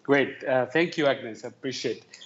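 A man from Tanzania, a guest calling in remotely to a podcast, answering thoughtfully with many "uh" pauses. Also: mid-range voice, highs cut off. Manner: thoughtful, many "uh" fillers, earnest. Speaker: podcast guest, talent development executive. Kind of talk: conversational interview answer.

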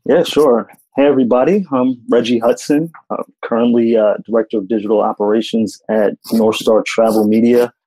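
A man's voice: mid-range voice, fairly even intonation, normal speed, mellow, breathy edge, no echo, rough recording. A man from New York introducing himself as a panel guest on a video call, hesitant and repeating words.

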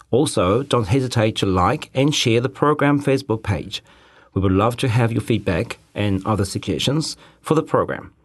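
A man presenting and interviewing as a radio host. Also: fairly low pitch.

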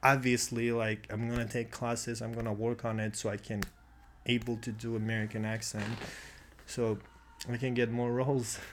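A man's voice: mid-range voice, level tone, moderate pace, slight background noise. A young man with a Russian accent, a native Russian speaker from Russia, talking casually as an actor and guest, agreeing often and adding "man" to his lines. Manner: agreeable, lots of "yeah" and "man". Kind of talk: casual conversation.

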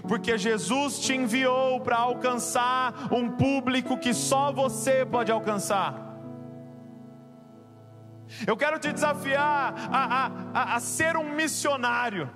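A man's voice: very high voice, slow, over music. A man preaching a sermon to a congregation, emphatic and rising to passionate exhortation. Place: church with keyboard behind.

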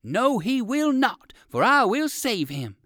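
A man voicing a brave, confident old gentleman rabbit for a children's storybook, speaking emphatically. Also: very high voice, very animated, slow pace, no room sound, clean studio sound, pitched above the performer's own voice, exaggerated voice. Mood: bold, determined. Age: older.

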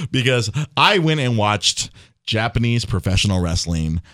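An animated male host who rambles excitedly, with swearing, quick laughs and restarts. His voice moves around a lot in pitch, and the sound is dry and close with no room around it. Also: no room sound. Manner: animated, swears and laughs often, stumbles over words. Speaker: podcast host. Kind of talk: casual chat.

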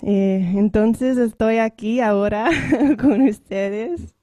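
A woman's voice: on the high side, slow pace, warm tone, no echo. A woman from the Netherlands, a guest speaking careful learner's Spanish in short phrases and laughing often between them. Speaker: guest singer. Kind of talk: halting learner's Spanish with translation pauses.

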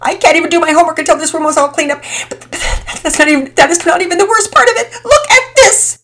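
A woman voicing a distraught young girl who talks high and fast, pleading and whiny. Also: sing-song, pushed and bright. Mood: upset. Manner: high-pitched, rushed, pleading.

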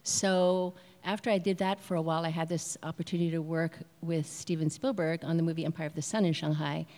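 An American woman with a lower voice tells her story on a festival panel. She is reflective and earnest. The long reverb of a hall hangs on her words. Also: steady tone, a little fast, a little mellow. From United States. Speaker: film producer.

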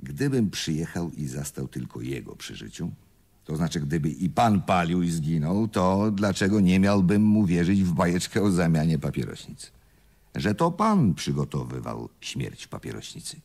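A man playing a shrewd, composed police inspector in a radio play, reasoning formally and deliberately in a gravelly voice. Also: low voice, very animated, a little mellow, full character voice. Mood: explaining, insistent. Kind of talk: Polish radio play acting.

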